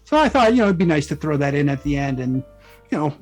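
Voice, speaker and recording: A man's voice: fairly high pitch, big pitch swings, fast talker, darkish tone. A man speaking as a guest author in a casual interview, explaining things conversationally. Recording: background music. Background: music under the talk.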